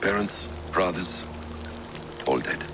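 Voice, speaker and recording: A middle-aged male actor playing a grave, sorrowful assassin in a video game, speaking in a slow, measured, reflective way. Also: animated, over music, heavily acted. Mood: wistful. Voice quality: low, gravelly. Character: grave, sorrowful, world-weary male assassin and bereaved father. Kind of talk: cinematic game dialogue.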